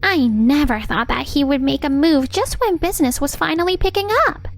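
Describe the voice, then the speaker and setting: A woman's voice: high voice, moderate pace, creaky. A woman playing a friendly, anxious young female office coworker in a manga dub, with a girlish, eager delivery. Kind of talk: anime-style manga dub acting. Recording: background music under the voice. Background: music.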